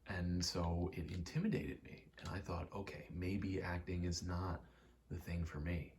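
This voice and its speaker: A young man giving a soft-spoken, halting, reflective ASMR ramble, telling personal stories in a hushed voice close to the mic. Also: sits low, slight background noise. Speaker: ASMR creator.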